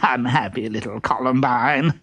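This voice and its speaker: A man's voice: medium pitch, normal speed, lightly acted. A man voicing a vain, bossy poet suitor in a storybook, with a theatrical, chuckling delivery that savours every rhyme. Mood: smug, gleeful. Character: vain, giggling suitor poet with gap teeth, bossy and smug.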